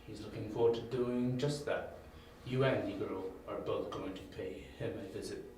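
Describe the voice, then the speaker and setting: A man acting a smug, ruthless crime boss who speaks with deliberate menace in an amateur audio drama. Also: lowish voice, steady tone, darkish tone, lightly acted. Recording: very echoey, distant, faint noise.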